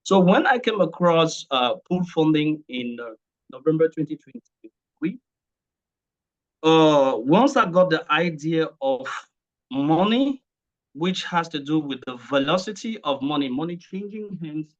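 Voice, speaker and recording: A man's voice: fairly high pitch, expressive, measured pace. Muffled Cameroonian man giving an online talk. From Cameroon, English-speaking region. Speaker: speaker sharing testimonial at online training. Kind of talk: informal presentation to an online group.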